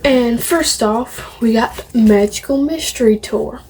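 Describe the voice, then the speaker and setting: A woman's voice: a little high, takes it slowly, darkish tone, low-quality recording. A woman vlogging casually to camera, showing off a collection in a rambling, opinionated way and repeating phrases. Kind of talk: casual show-and-tell to camera.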